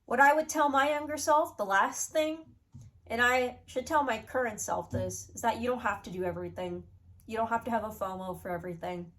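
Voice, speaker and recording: A woman's voice: on the high side, fairly quick, a bit bright. A young woman with a sick, nasal voice, talking earnestly to her channel and giving reflective advice in a casual vlog. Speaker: YouTuber.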